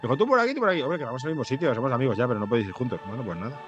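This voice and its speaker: A man's voice: mid-range voice, expressive, racing, muffled recording, music in the background. A man from Madrid with a Spain Spanish accent, chatting anecdotally as a guest, often hesitating and repeating his words. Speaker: podcast guest, journalist and screenwriter. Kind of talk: casual anecdotal chat.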